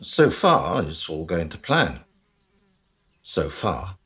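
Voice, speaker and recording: A man's voice: low voice, big pitch swings, fairly bright tone, full character voice. A man playing a solemn, portentous narrator who builds suspense in a comic radio drama. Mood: ominous. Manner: portentous, deliberate.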